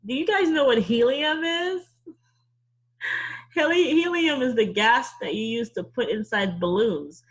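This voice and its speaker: A woman teacher leading an interactive read-aloud for young children, playful and animated, often asking questions, with a slightly husky voice. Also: fairly high pitch, measured pace.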